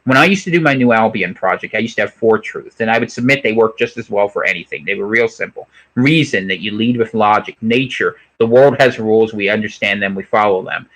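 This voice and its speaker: A man's voice: a little fast, few pauses, poor audio. An American man hosting an unscripted livestream, reading chat comments aloud and giving opinionated, digressive commentary. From United States. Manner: animated, opinionated, digressive, pitch jumps up sharply on emphatic points.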